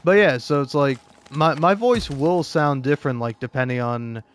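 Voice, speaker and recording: A man's voice: medium pitch, normal speed, slight background noise. A man talking in a casual, rambling monologue, second-guessing himself as he goes. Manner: rambling, self-questioning. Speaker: video creator.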